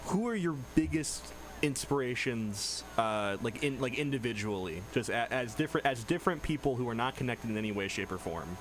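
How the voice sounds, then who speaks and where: A man's voice: medium pitch, animated, fairly quick, few pauses, rough recording. A man hosting a radio show in a casual, joking and self-deprecating way, speaking into a studio microphone. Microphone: studio microphone with headphones.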